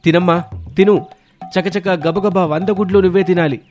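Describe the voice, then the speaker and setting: A man puts on an eager grown son from a cartoon family tale. The voice is pitched up and pushy, cheering and urging, with faint music behind it. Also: fairly even intonation, moderate pace, darkish tone, close to an everyday voice. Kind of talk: cartoon moral-story voicing.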